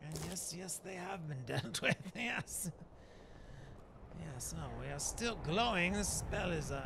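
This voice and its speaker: A man playing a genteel, self-satisfied dark elf shaman in a game roleplay, musing haltingly in old-fashioned, formal words over a noisy background. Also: a little high, creaky, full character voice. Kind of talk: voiced roleplay narration of gameplay.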